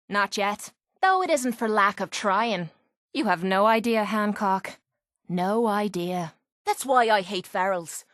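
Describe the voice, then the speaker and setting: A woman's voice: mid-range voice, no echo, lightly acted. Actress doing a tough irish wasteland girl for a video game, mouthy n sardonic, slangy, really dry studio sound. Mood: sardonic, brash. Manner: brash, sardonic, slangy and blunt. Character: tough, foul-mouthed, sardonic young female wasteland brawler companion. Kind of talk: video game character dialogue.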